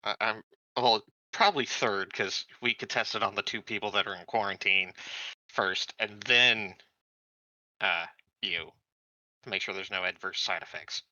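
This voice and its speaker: A man's voice: fairly low pitch, moderate pace, bright, forceful tone, no echo, muffled recording. A man talking casually as a player in a tabletop roleplaying game.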